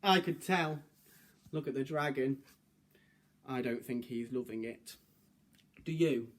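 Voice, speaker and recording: A man reading a children's story aloud, pausing to explain and put questions to his young listeners. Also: medium pitch.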